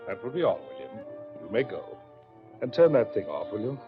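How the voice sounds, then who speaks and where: An actor in a 1940s radio drama playing a charming, cultured, middle-aged big man who hosts captives with deliberate, menacing politeness. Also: lowish voice, very animated, music in the background. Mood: smug control. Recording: dull old radio recording.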